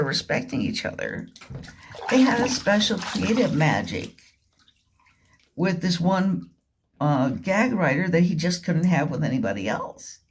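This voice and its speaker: A woman giving a rambling, informal spoken commentary, halting and repetitive, leaning on words for emphasis. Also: mid-range voice, noisy background. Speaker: vlogger. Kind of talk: rambling informal monologue retelling and commenting on a radio drama.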